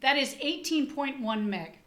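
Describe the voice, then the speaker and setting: A woman's voice: high-pitched, animated, a little slow, fairly bright tone, echoey room. A woman co-hosting a casual tech podcast, a Danish and English speaker, explaining her tests in a chatty, trailing way. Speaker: podcast co-host.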